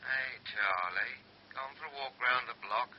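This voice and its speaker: An actor playing a menacing, taunting kidnapper speaking slangily down a telephone in a radio play. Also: male voice, medium pitch, a little slow. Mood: taunting menace. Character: menacing male kidnapper, sneering crook on the telephone. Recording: dull, hissy radio play, phone voice.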